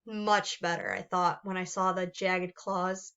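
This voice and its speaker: Slow, bright-voiced woman reading a storybook. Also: fairly low pitch, fairly even intonation, smooth, highs cut off. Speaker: storybook reader. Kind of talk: expressive children's read-aloud narration.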